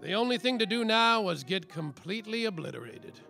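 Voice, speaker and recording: An actor playing a world-weary, semi-sober, hapless private detective with melodramatic, wry delivery in a noir radio parody. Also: male voice, high-pitched, animated, a little fast, vocal fry, sharp tone, soft background music, full character voice. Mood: wry narrating. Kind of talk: hard-boiled noir narration, radio play parody.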